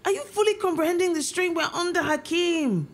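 A woman's voice: extremely high pitch, brisk. An actress playing a stressed, middle-aged mother who nags and insists, in a naturalistic audio drama. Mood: exasperated. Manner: scolding, insistent.

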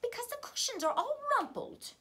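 A woman's voice: extremely high pitch, very animated, moderate pace, fairly bright tone, pitched above the performer's own voice, heavily acted. A woman voicing a fussy, motherly mother bear from a storybook, fretting in a raised voice. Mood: fussed.

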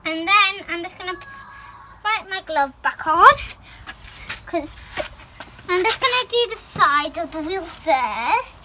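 A woman's voice: very high voice, lively intonation, slow pace, pauses often. A young girl chatting as she washes a car outdoors, narrating each step. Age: child. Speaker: child washing the car. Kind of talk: casual chat. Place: outdoors on a driveway. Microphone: handheld phone camera. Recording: noisy outdoor phone recording.

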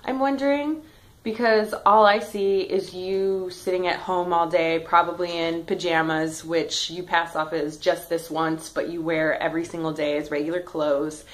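A woman's voice: on the low side, fairly even intonation, moderate pace. A woman speaking in a mocking, condescending tone in a clip from her own video. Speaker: YouTuber in a clip. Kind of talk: scornful video commentary.

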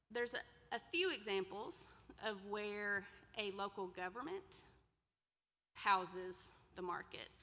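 A woman's voice: mid-range voice, bright, forceful tone. A woman in her thirties giving a conversational workshop lecture from a podium, asking the audience questions now and then. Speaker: extension presenter. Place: large lecture room indoors. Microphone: podium mic, far camera. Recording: reverberant hall.

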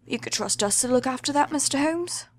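A woman's voice: high-pitched, animated, a little fast, pitched above the performer's own voice. A woman voicing a polite, puzzled male police detective who asks questions in a dramatic audiobook.

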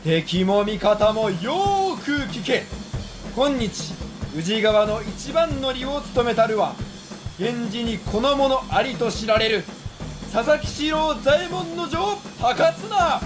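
A man's voice: very high voice, expressive, slow, exaggerated voice. A man acting a proud, bold samurai, declaiming in formal old-fashioned speech in a period radio drama. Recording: music over the voice.

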